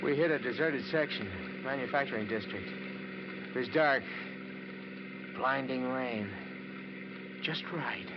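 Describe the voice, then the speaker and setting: A man's voice: medium pitch, takes it slowly, long pauses, background noise, lightly acted. An American man playing a desperate, scheming guilty man who narrates his crime in clipped, hard-boiled radio noir style. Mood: grim, calculating. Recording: muffled old radio recording, music behind.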